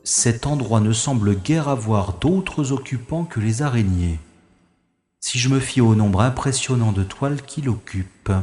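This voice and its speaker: A man playing a cautious, wry infiltrator who thinks aloud in a deliberate, dramatic monologue from an audio science-fiction adventure. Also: medium pitch, darkish tone, reverberant, lightly acted. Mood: curious, wary. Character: cautious, wry male infiltrator narrating his secret mission.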